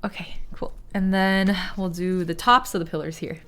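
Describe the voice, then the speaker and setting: A woman's voice: mid-range voice, normal speed, a bit bright. A woman, a Shanghainese speaker, chatting casually while she crafts on stream. She explains things at length, with lots of "like" and some casual swearing.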